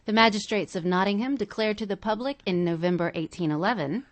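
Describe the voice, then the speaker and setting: A woman reading scripted documentary narration for a podcast in a measured way. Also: mid-range voice.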